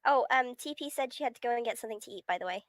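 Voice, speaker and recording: A woman's voice: a little high, animated, very fast, no echo, studio quality. A woman reading visual novel text aloud in a casual, amused, chatty way on a stream.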